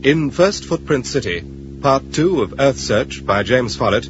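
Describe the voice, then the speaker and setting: A male announcer with a mid-pitched voice reads scripted radio credits. Plucked string music plays clearly behind him on a slightly dull recording. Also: expressive, unhurried. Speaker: closing announcer. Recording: dull band-limited old radio recording.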